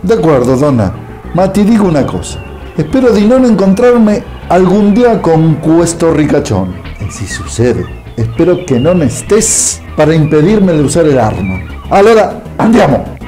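An actor playing a hot-headed, scornful Italian immigrant husband with an Italian accent in a radio soap. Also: male voice, on the high side, big pitch swings, normal speed, dark tone, soft background music. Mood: menacing. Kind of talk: radio soap opera acting.

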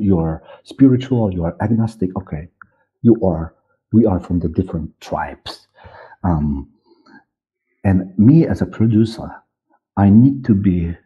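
A middle-aged man with a Polish accent, a native Polish speaker, giving interview answers haltingly as he searches for words. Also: lowish voice, slightly rough. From Poland. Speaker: guest, audiobook director and producer. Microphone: headset on a video call. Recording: muffled, narrow-band video call audio.

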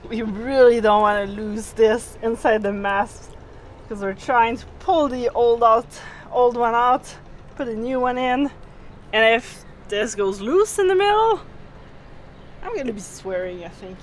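A woman in her thirties talks through a boat repair in a casual, chatty way. Her voice sits a little high. She is recorded outdoors on a camera mic with faint yard noise behind her. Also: measured pace. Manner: chatty, explaining boat work as she goes. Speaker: sailing vlogger. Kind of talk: casual vlog narration. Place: outdoors in a boatyard.

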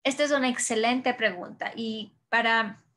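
A woman in her thirties, an audiologist, answering audience questions in a webinar over a video call, calm and explanatory with frequent restarts. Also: on the high side, moderate pace. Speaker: audiologist presenter. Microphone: webcam video call.

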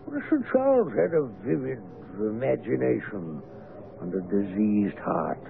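An actor playing an affable, eccentric naturalist neighbour with a sinister edge, chatty and courteous, in a radio drama. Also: male voice, mid-range voice, big pitch swings, a little slow, creaky, heavily acted. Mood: genial, probing. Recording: noisy phone-like vintage radio broadcast.

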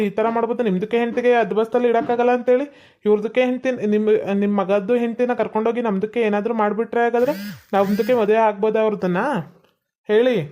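A man's voice: sits high, dull audio, close to an everyday voice. A man playing a cheeky young man who speaks broken Kannada with odd phrasing, in a comedy skit.

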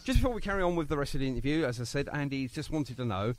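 Man, radio host, chatty, joking, animated pitch. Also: rapid-fire, a little hiss or hum. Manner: chatty, jokey, rambling with many fillers. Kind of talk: casual radio interview. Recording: phone interview on online radio.

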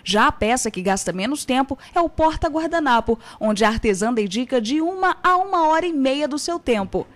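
A young woman reads a local news report in a formal, even voice. Her pitch sits high. She speaks into a handheld mic at an outdoor tented fair. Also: brisk. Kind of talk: scripted news narration. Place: outdoor craft fair under tents.

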